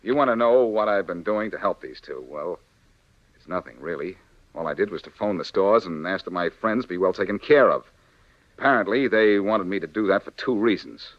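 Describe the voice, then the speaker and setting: Casting: wisecracking amateur sleuth with a shady past, lowish voice, very animated, dull vintage radio sound. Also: male voice, normal speed, no echo. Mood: explaining. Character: brisk wisecracking male reformed-crook sleuth, unflappable. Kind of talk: 1940s radio crime drama acting. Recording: dull 1940s radio recording.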